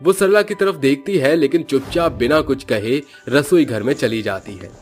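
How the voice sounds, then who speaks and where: A man's voice: mid-range voice, moderate pace, soft background music. A man giving scripted narration for a horror cartoon story.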